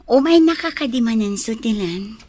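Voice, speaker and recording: A woman's voice: on the high side, lively intonation, normal speed, mellow, no room sound. A woman with an Ilocano accent playing a kind, trusting lady of the house in an audio drama. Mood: calm, reassuring.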